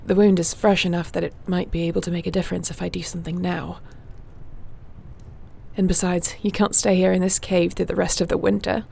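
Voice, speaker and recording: A woman playing a gentle, wry warrior shifter with healing magic in an intimate fantasy audio roleplay, speaking softly and soothingly without hurry. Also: fairly low pitch, level tone, a little fast, creaky, a little hiss or hum. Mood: tender, concerned.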